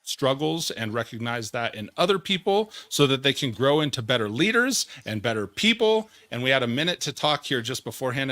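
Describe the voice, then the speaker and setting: A man hosting an interview podcast, enthusiastic and energetic, often repeating words as he talks. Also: mid-range voice, dry recording. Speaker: podcast host.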